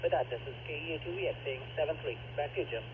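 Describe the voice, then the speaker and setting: A man's voice: fairly high pitch, very fast. A man checking in on a ham radio net, talking hesitantly with lots of fillers and small chuckles, heard over a radio transmission. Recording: phone-like ham radio transmission with audible hiss.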